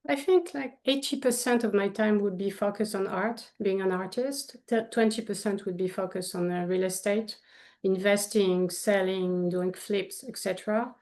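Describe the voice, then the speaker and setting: A woman's voice: mid-range voice, normal speed. A woman from France with a French accent, talking casually as an artist guest in an interview, with many fillers and restarts. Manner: animated, frequent fillers and restarts.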